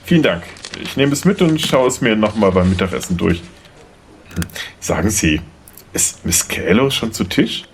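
A man's voice: lowish voice, lively intonation, normal speed, a little hiss or hum. A man playing a polite, slightly unsure and charming young lawyer in an audio drama. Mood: preoccupied, puzzled. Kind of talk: German audio drama dialogue.

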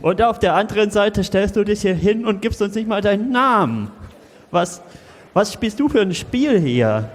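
A man's voice: high voice, expressive, normal speed. A man playing a sceptical, needling ex-contestant in an improvised comic radio play, challenging and mocking. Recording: echoey hall, live stage.

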